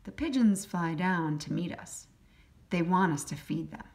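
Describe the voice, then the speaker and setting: A woman reading a children's picture book aloud as a teacher, in simple, deliberate short phrases. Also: lowish voice, vocal fry, a little mellow. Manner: acts out sound effects. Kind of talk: children's storybook read-aloud.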